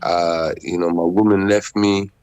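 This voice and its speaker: A man's voice: sits low, little pitch movement, unhurried, clean tone. A man, a musician guest chatting loosely and repeating himself on a remote call. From Netherlands. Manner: laid-back, repetitive, shouts out greetings. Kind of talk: casual interview chat.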